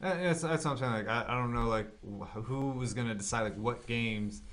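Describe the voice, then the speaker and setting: A man's voice: medium pitch, brisk, a bit bright. A man co-hosting a gaming podcast, chatting casually in slangy, emphatic, stop-and-start phrases. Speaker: podcast host.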